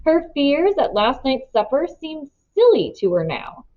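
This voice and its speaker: A woman reading a story aloud as narrator, with expressive storybook delivery. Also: sits high, measured pace. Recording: slightly dull, lo-fi sound.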